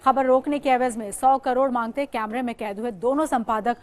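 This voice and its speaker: A woman anchors a news bulletin. Her voice sits a little high as she reads scripted headlines in a newsreader's delivery. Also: fairly even intonation, a little fast.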